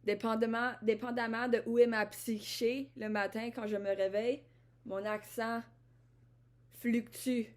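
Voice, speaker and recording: High-voiced woman from Ottawa, musing aloud. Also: little pitch movement, a little slow. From Ottawa, Canada. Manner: introspective, repeats and restarts phrases. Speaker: vlogger. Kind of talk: rambling personal vlog monologue.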